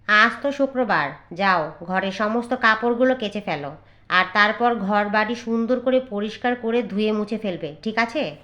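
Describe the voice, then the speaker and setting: A woman's voice: medium pitch, animated, normal speed, fairly bright tone, muffled recording, lightly acted. A woman voicing a stern, scolding older mother-in-law in a cartoon, commanding and threatening. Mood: bossy. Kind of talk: cartoon voice acting.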